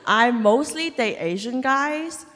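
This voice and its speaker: A young woman from Hong Kong doing a stand-up set on stage, delivering deadpan punchlines and laughing at her own jokes. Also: high voice, slow. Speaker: stand-up comedian. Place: comedy club stage. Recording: live venue with long reverb. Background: audience laughter.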